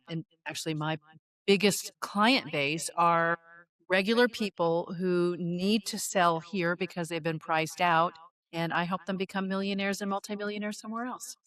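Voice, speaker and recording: A woman's voice: lowish voice, a bit bright. A woman real estate agent speaking as a guest in a matter-of-fact, straightforward way. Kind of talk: casual panel chat.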